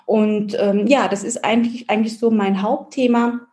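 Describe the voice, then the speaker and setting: A woman from the Palatinate, a guest in an interview, talking about her work and family with many pauses and fillers. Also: mid-range voice, steady tone, mellow. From Germany, Palatinate. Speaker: guest, baby-feeding advisor. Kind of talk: casual interview chat.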